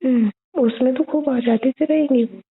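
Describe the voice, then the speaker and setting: A young woman chatting casually and intimately with her boyfriend on a phone call, in a childlike, cooing way with drawn-out phrases. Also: sits high, slightly rough. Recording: muffled, band-limited phone call recording.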